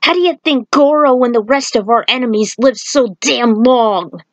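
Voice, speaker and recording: A woman's voice: a little high, moderate pace, dry recording, muffled recording, lightly acted. A woman voicing a distraught warrior monk who warns of a curse in an urgent, grim, emphatic way for a story. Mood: grim, insistent.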